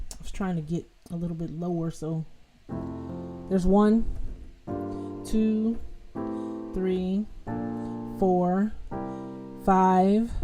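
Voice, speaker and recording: A woman hosting a live-streamed piano lesson, chatting casually and directly with viewers, with loose fillers and restarts. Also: fairly low pitch. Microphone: home streaming mic with adjustable level.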